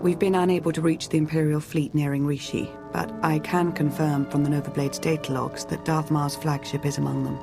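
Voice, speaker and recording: Dry polite imperial adviser, gravelly edge, game music behind. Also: female voice, sits low, level tone, fairly quick, few pauses. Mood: matter-of-fact. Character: composed, dryly polite Imperial strategist and adviser. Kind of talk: scripted video game cutscene acting.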